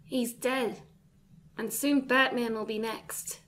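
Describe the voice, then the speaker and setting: A woman's voice: on the high side, fairly even intonation, slow pace, a bit bright, a little hiss or hum. A woman acting a defiant, bitter female villain in a fan audio drama, with a taunting, dramatic manner. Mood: bitter, resolute.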